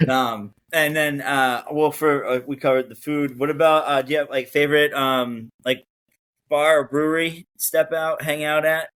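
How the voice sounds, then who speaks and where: A man's voice: a little high, fairly even intonation, normal speed, fairly bright tone. A man interviewing a band, asking casual questions with many hesitant fillers.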